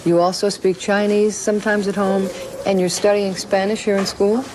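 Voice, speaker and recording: A woman's voice: medium pitch, level tone, normal speed, noisy background. A woman working as a television news reporter, interviewing and narrating in a broadcast style.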